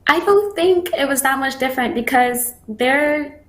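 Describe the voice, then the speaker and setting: A woman's voice: high voice, unhurried. Giggly young Barbadian woman, echoey room. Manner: relaxed, giggly, frequent ums. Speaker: guest athlete. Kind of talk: interview answers.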